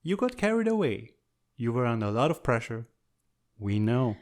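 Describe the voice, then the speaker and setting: Man playing a lively, understanding teenage cadet. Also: medium pitch, moderate pace, close to an everyday voice. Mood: sympathetic. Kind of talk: animated comic fan dub.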